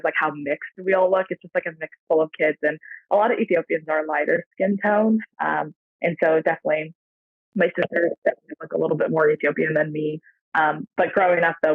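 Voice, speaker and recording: Brief: American woman, podcast guest, gravelly and rambling, dry phone-quality audio. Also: low-pitched, no room sound. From San Francisco Bay Area, USA. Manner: reflective, rambling, heavy on fillers. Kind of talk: casual interview chat. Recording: tinny phone-like dry line.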